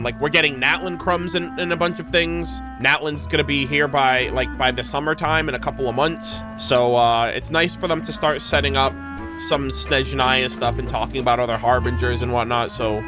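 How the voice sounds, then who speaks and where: A young man doing casual reaction commentary as a game streamer, talking in an informal, slangy way. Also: mid-range voice. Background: game music.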